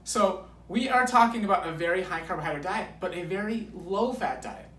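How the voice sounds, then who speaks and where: A man's voice: high-pitched, lively intonation, a little fast, a bit bright, far from the mic. A man in his thirties explaining things as a guest coach in an enthusiastic, emphatic way, driving key points home. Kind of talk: enthusiastic explaining in a filmed conversation. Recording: roomy echo, off mic, background noise.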